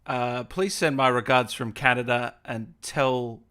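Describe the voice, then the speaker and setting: Bright-voiced Australian man hosting. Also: mid-range voice, steady tone, a little slow, clear, resonant tone. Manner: easygoing, reassuring, complimentary. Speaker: interviewer, podcast host. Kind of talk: casual friendly interview chat.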